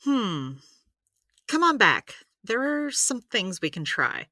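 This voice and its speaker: Wry female healer, audio drama, slow-paced delivery, bright tone, close dry sound, chatty explainer. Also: fairly high pitch, big pitch swings, no room sound, exaggerated voice. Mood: matter-of-fact. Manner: wry, explanatory, exasperated asides. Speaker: healer giving therapy advice. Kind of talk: audio drama dialogue, conversational.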